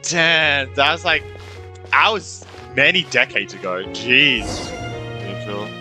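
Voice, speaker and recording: A man's voice: mid-range voice, slow, music in the background. A man giving casual, slangy reactions while playing a video game, with hesitant asides. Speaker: gamer reacting to a story quest.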